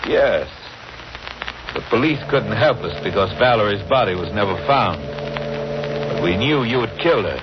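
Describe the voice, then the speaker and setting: A calm stern accuser, lowish voice, in an old noisy radio drama. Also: male voice, a little slow, muffled recording. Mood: businesslike. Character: calm, stern man coolly exposing a murderer. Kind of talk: 1940s radio acting.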